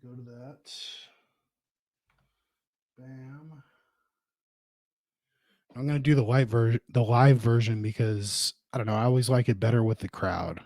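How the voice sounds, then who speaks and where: A man's voice: medium pitch, steady tone, a little slow, pauses often, a little mellow, dry recording. A man hosting a podcast solo, rambling casually and informally with plenty of swearing. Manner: profane, informal. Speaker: podcast host.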